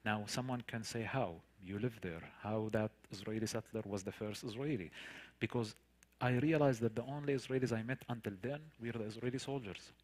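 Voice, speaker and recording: A man's voice: lowish voice, fairly quick, a little mellow. A man with a Palestinian Arabic accent, a native Arabic speaker, tells a personal story to listeners as a guest speaker, in an earnest, emphatic manner with rhetorical questions and repetition. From Palestinian territories. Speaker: guest speaker, tour guide. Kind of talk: personal storytelling in a public talk.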